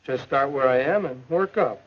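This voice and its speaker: An American actor playing an earnest, self-doubting high school boy athlete in a 1950s educational film, with stagey delivery. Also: normal speed, natural voice. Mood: earnest, hopeful. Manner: earnest, stagey thinking aloud. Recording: muffled old 1950s film soundtrack.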